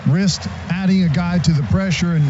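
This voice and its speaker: A man's voice: high-pitched, moderate pace. A man giving live analysis as a commentator on a football radio broadcast. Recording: dull sound over loud stadium noise. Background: stadium noise.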